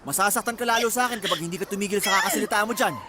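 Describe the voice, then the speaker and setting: An actor playing a jealous, abusive young boyfriend in a radio drama, with an accusing, sarcastic and menacing manner. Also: male voice, sits high, rapid-fire, heavy background noise, lightly acted. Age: young adult.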